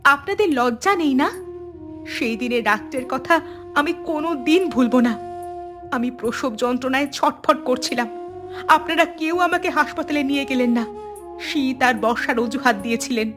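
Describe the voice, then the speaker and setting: A woman's voice: high voice, moderate pace, natural voice. A woman voicing a wronged, bitter young mother and daughter-in-law in a dramatic cartoon, accusing and indignant. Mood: angry, accusing. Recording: music behind the voice.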